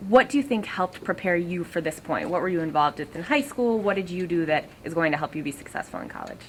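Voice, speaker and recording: A woman interviewing students, asking question after question briskly. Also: lowish voice, animated, a little hiss or hum, poor audio.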